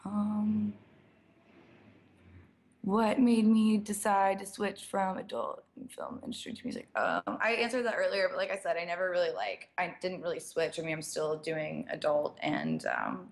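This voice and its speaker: A young woman, a DJ, chatting casually through a live-stream Q&A, slightly raspy and sprinkling her talk with "like". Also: low-pitched. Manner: chatty, fills speech with "like" and small laughs. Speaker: DJ and producer. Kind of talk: casual live-stream Q&A, reading viewer questions.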